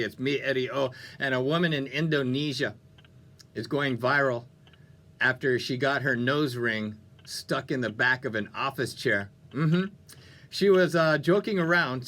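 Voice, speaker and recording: Bright, upbeat male radio DJ. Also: mid-range voice. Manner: playful, animated, conversational. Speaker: radio DJ, show host. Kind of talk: upbeat music radio DJ patter. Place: radio studio.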